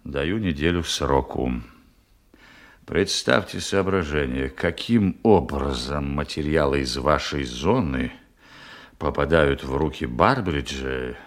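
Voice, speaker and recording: An actor playing a stern, formal superior in a Russian radio play, speaking in a cold, measured, official manner. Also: male voice, a bass voice, animated, full character voice. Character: stern, formal male superior issuing an ultimatum.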